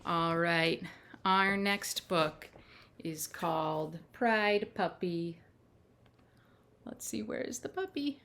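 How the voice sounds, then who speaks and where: A woman reading picture books aloud to young children in simple, measured phrases, with little asides to her listeners. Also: fairly low pitch, a bit bright. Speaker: children's storytime reader. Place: at home.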